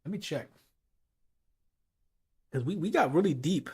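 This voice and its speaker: Man, fairly high voice, hoarse, casual live-stream chatter, talks directly to viewers. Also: expressive, unhurried, darkish tone. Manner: casual, chatty, talks straight to his chat. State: hoarse from hours of talking. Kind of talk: late-night live-stream chat.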